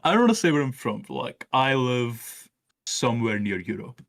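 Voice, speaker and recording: A man's voice: medium pitch, animated, vocal fry, a bit bright. Indian-accented man in his late twenties. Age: late 20s. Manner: fast filler-heavy talk, many "like"s, swears, interrupts. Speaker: caller debating the host. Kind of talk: heated casual debate.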